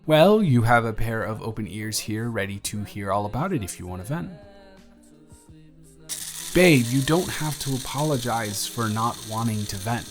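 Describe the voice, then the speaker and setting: A man's voice: fairly low pitch, lively intonation, normal speed, close to an everyday voice. A young man playing a chatty, friendly barista who is sweet on a friend, in an intimate one-sided audio roleplay with casual, slightly stammering delivery. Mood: caring, reassuring. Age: young adult. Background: music.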